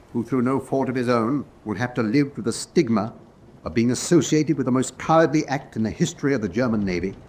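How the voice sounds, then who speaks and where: An actor playing a conscientious, doubting German military officer in a radio drama, measured and formal in his speech. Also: mid-range voice, darkish tone, natural voice. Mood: grave, formal. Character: conscientious, doubting German officer presiding over prisoners' court-martial. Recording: background noise and ambience.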